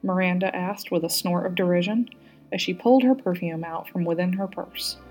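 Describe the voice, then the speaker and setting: A woman reading scripted fiction as a narrator, with an expressive delivery. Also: on the low side, normal speed, faint music behind, rough recording. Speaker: volunteer audiobook reader.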